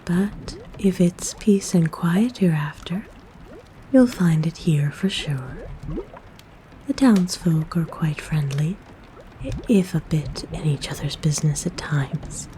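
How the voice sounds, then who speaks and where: A woman playing a kind, motherly forest witch in a soft, breathy, gentle whisper for an ASMR roleplay. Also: low-pitched. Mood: gentle, reassuring. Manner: gentle, soothing, coaxing, unhurried. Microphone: close microphone.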